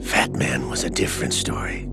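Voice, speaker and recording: An actor playing a cold, scheming older male villain in a video game, calm, measured and mocking as he lays out a plot. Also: natural voice. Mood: smug, coldly explaining. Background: game score music.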